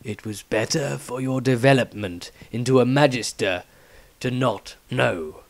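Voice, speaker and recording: A man voicing a stern old grey-haired wizard patriarch in a fantasy audiobook, speaking in a slow, deliberate way. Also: mid-range voice, creaky. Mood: knowing, deliberate. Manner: slow, measured, drawn-out delivery.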